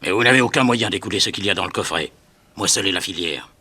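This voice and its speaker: An actor playing a scheming, ruthless American crime boss in a French radio crime serial, mocking and menacing. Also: male voice, low-pitched, fast talker, pushed and bright, husky, heavily acted.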